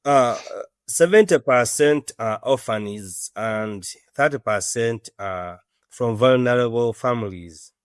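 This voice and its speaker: A man in his thirties with a Ugandan accent, answering as an interview guest in a halting, earnest way. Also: mid-range voice, no room sound, clean studio sound. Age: 30s. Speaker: interview guest, orphanage director.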